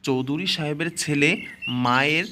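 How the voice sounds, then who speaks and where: A man's voice: fairly high pitch, fairly even intonation, moderate pace, noisy background. A man reading scripted narration for a cartoon family story. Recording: music and birdsong behind the voice.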